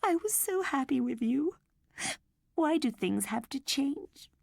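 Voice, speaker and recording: A woman voicing a little girl who pleads and sobs over losing her robot doll, her voice pitched high. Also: sing-song, slow, creaky, a little mellow, no echo, studio quality, exaggerated voice. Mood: tearful pleading.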